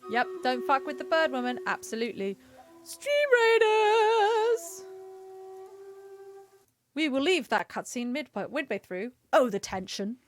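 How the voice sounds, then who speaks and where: A woman's voice: high-pitched, very animated, measured pace. A woman giving casual, chatty livestream commentary on a video game, playful and given to sing-song exclamations. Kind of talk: casual gaming stream commentary. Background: faint music.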